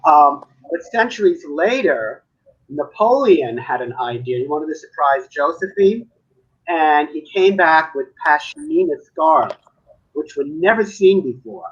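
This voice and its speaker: A New man chats and tells stories on a live video call, his voice husky and slightly breathy. The audio is a little dull and muffled. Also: a little high, animated, unhurried. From Manhattan. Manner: chatty storyteller, laughs often. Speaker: artist. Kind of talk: casual live-stream chat.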